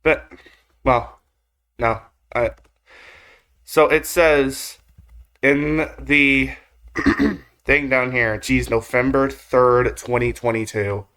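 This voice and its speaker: A man's voice: medium pitch, fairly even intonation, extremely slow, long pauses, bright, forceful tone. A man giving casual, rambling reaction commentary as a video host, with slangy phrasing and mild swearing. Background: music or drone from the video being watched.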